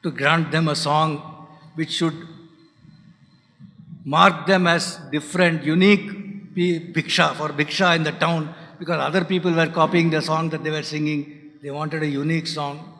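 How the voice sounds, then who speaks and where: A man with a Tamil mother tongue gives a reflective spiritual talk in a bright, fairly high voice. He speaks haltingly and often lets his voice fall to a soft hush. The sound rings with the long reverb of a lecture hall. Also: flat delivery. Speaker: speaker at a spiritual centre's founders day.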